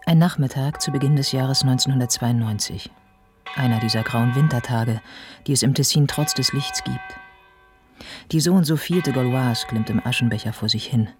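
A man acting as the narrator of a literary radio drama, describing scenes in a measured way. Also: medium pitch, lightly acted. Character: observant literary narrator of a writer's last years. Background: prominent instrumental music.

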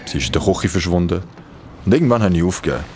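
Deep-voiced young Swiss hiker. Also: male voice, lively intonation, very fast, a little mellow, a little hiss or hum. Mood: wry recounting. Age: young adult. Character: stranded young injured hiker, uneasy and wary. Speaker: narrator and lead character. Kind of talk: first-person radio play narration and dialogue.

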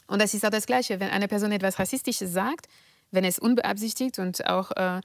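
Guest: French-accented woman, deliberate with hesitations, dry studio. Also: medium pitch, creaky, dry recording. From France. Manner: measured and deliberate, with frequent hesitations. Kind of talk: thoughtful interview answers.

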